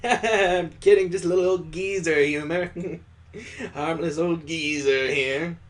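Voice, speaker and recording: A man's voice: fairly high pitch, steady tone, takes it slowly. A man voicing a jovial, sentimental old father-in-law, hearty and earnest, in a visual novel read aloud. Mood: joking, affectionate.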